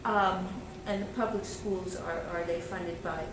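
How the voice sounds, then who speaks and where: A woman's voice: lowish voice, steady tone, unhurried. A woman hosting an interview, asking questions with many ums and restarts. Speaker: interview host. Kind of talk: public-access interview. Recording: echoey room, far from mic, loud noise.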